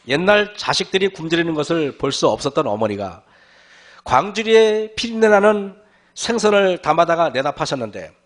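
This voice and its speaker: A male pastor giving a sermon, with a hoarse voice and frequent throat clearing, often calling out to the congregation and asking rhetorical questions. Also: sits high.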